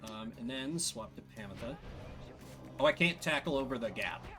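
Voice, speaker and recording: Guy streaming a game, kinda high voice that jumps around a lot, faint music behind. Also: lively intonation, moderate pace. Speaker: gaming streamer. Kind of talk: let's play commentary with character voices.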